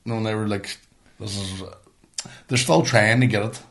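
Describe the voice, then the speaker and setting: A Northern Irish man co-hosting a podcast, telling stories in a casual, animated, sweary and rambling way. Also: fairly low pitch, normal speed. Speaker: podcast co-host. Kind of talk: casual storytelling banter, sweary.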